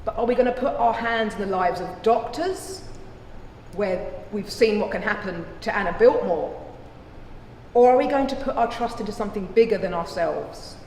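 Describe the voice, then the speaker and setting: A woman playing a solemn, impassioned lawyer who addresses a courtroom with deliberate, rhetorical phrasing. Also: medium pitch, lively intonation, a little mellow, lightly acted. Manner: deliberate, rhetorical, emphatic pauses. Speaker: lawyer giving a closing argument. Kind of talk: courtroom drama speech. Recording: echoey hall with faint noise.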